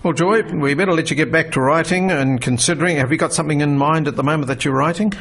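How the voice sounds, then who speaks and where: Chatty husky male radio host. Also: a little high, fast talker, fairly bright tone. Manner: chatty, affirming, laughs easily. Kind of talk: casual radio interview.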